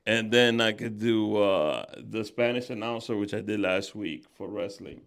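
A man's voice: on the low side, normal speed, gravelly, fairly bright tone. A man hosting a podcast in casual, joking chat, often slipping into voices. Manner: playful, joking, breaks into impressions. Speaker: podcast host.

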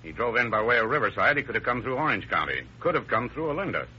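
An actor playing a shrewd, hard-nosed police inspector in an old-time radio crime drama, with clipped questioning. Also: male voice, mid-range voice, very animated, quick pace, a little hiss or hum, lightly acted. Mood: shrewd, businesslike. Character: brusque, shrewd male police inspector interrogating. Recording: dull vintage radio recording.

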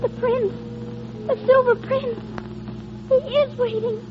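An actress playing a frail, dreamy little girl in a 1930s radio drama, speaking haltingly with breathless wonder. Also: extremely high pitch, fairly even intonation. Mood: enraptured. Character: frail dreamy little girl awaiting her fairy-tale prince. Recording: muffled old radio broadcast, noisy, music behind.

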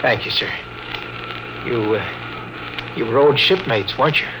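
An actor playing a respectful, eager young lieutenant navigator in an old-time radio drama. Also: male voice, medium pitch, measured pace, natural voice. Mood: dutiful. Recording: noisy vintage radio drama recording.

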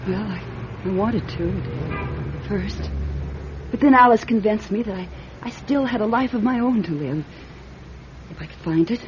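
An actress playing a fragile, grieving widow in classic radio drama, hesitant and haunted, turning insistent. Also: female voice, medium pitch, a little slow, long pauses, natural voice. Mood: troubled, hesitant. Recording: dull, noisy old radio recording.

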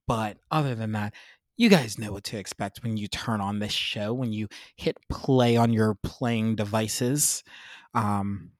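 A young man hosting his own podcast in a casual, upbeat, self-mocking chat, a little congested and clearing his throat. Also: medium pitch, dry recording, studio quality. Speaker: podcast host.

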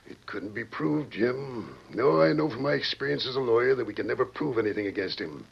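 Gravelly, folksy, scheming Western banker. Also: male voice, medium pitch, animated, fairly quick, full character voice. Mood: feigned sympathy. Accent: American. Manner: folksy, falsely sympathetic, persuasive. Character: smooth, scheming small-town banker and lawyer. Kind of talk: old-time radio Western acting. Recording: muffled old radio recording.

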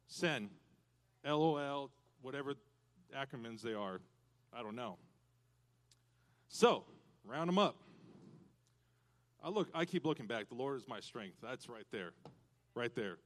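A man preaching a lively sermon to youth and parents in a church, animated and breaking into loud cowboy shouts. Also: medium pitch. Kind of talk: lively hands-on sermon with props.

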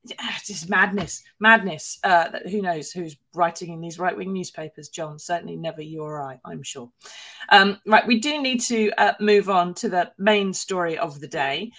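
A woman's voice: lowish voice, moderate pace, pushed and bright. Wry woman podcast host. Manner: chatty, wry, anecdotal. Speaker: podcast co-host, newspaper columnist. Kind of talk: casual news chat.

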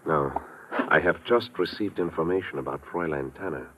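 An actor playing an earnest German police official in an old-time radio drama, speaking formally and precisely with a German accent. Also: male voice, very deep voice, a little fast. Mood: businesslike. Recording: muffled, noisy vintage radio recording.

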